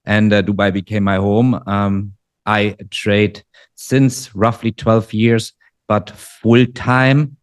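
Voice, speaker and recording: Man, forties, German accent, lowish voice, dry close sound, filler-heavy interview talk. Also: little pitch movement, smooth, no room sound. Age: 40s. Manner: measured, many fillers and restarts. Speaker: guest trader. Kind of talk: casual interview. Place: living in Dubai.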